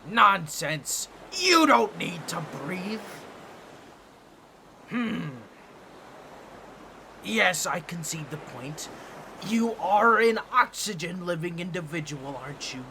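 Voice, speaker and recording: A man voicing an excitable, boastful talking octopus in a comedic audio roleplay, with a nasal, squawky voice and a fussy, theatrical, pompous way of talking to the listener. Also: sits high, slow pace, vocal fry, pauses often, slight background noise, heavily acted. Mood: pondering, pompous.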